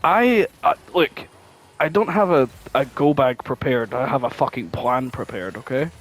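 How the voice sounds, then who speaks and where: A man's voice: mid-range voice, normal speed, poor audio. A man co-hosting a podcast in casual chat, rambling and swearing freely as he spins out his thoughts. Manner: rambling, sweary, animated storytelling. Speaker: podcast co-host.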